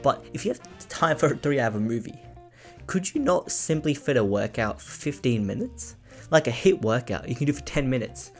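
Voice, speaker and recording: A man's voice: mid-range voice, expressive, moderate pace, soft background music. A young man hosting his own podcast, talking in a rambling, chatty, self-mocking way, often asking "right?" and "you know?". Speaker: podcast host. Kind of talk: casual solo podcast monologue.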